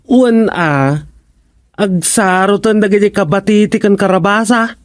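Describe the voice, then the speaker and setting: A man's voice: sits high, measured pace. An actor playing a grumbling, indignant village man in a radio comedy drama.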